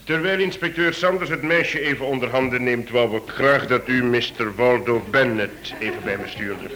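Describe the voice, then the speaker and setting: An actor playing an authoritative, dryly ironic chief police inspector in a radio drama, formal and measured. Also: male voice, medium pitch, a crowd in the background, lightly acted. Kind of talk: Dutch radio drama acting.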